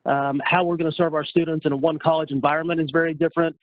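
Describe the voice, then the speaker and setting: A man moderating a virtual town hall, friendly and halting, often restarting his sentences. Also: on the high side, level tone. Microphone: video call. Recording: dry, tinny video-call audio.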